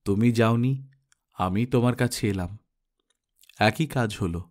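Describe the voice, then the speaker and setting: A man voicing a dignified elderly father with a soft, heavy, grave voice and a calm, courteous manner in a storybook reading. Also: medium pitch, slow pace, natural voice. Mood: gentle, amused. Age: older.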